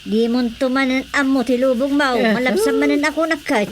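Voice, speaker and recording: A woman's voice: high-pitched, normal speed, close to an everyday voice. A woman acting a fond, chatty mother in an Ilocano radio drama comedy. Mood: chiding. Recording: faint background noise.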